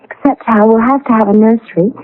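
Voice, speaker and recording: An actress playing a playful, teasing young American wife in a radio drama. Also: female voice, on the high side, fast, lightly acted. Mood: bright, eager. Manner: animated, teasing. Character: playful young expectant wife delighted by her old house. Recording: old radio broadcast, narrow band.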